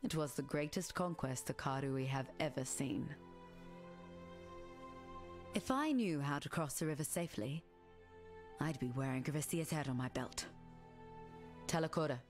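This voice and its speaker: A stern, low-voiced warrior woman. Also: expressive, music in the background. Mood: proud. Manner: solemn, measured, formal. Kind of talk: scripted video game character dialogue.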